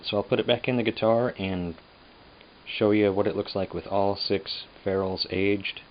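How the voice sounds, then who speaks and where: A man casually explaining guitar repair work in a halting, unscripted, plain-spoken way, as a craftsman hosting his own demonstration. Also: on the low side, steady tone. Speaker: guitar repairer and restorer, host. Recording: dull lo-fi sound with hum and noise.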